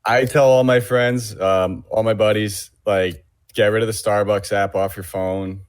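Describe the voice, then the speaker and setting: A man with a very low voice chatting on a sports podcast. He is laid-back and joking, with lots of fillers. The sound is dry and close. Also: fairly even intonation, a little slow, clear, resonant tone, no echo. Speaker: podcast co-host. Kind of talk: casual podcast chat.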